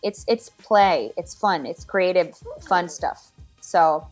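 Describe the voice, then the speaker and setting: A Finnish-accented woman singer-songwriter answering interview questions from home, often pausing and restarting as she searches for words. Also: fairly low pitch, darkish tone, soft background music. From Finland. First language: Finnish or Swedish. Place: home with her dogs.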